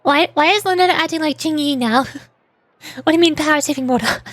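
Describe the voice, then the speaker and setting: High-voiced, playful woman streaming a game. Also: a little fast, a bit bright, no room sound. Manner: playful, chatty. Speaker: VTuber streamer. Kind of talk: casual live game commentary. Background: faint music.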